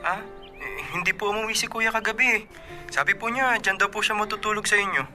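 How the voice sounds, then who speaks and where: A woman's voice: mid-range voice, quick pace, pushed and bright, soft background music, pitched below the performer's own voice. An actress voicing a polite teenage boy, the younger brother, who speaks respectfully in a radio drama. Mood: puzzled.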